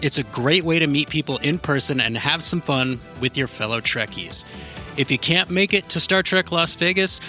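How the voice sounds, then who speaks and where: A man's voice: on the high side, lively intonation, moderate pace, sharp tone, faint music behind. A man reading an upbeat convention promo in a promotional tone. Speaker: ad reader.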